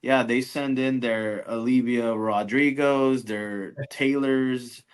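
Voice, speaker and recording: A man's voice: mid-range voice, steady tone, takes it slowly, clean tone. A man around his thirties or forties talking casually as an interview guest, hesitantly, often repeating words. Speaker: guest, music media grader.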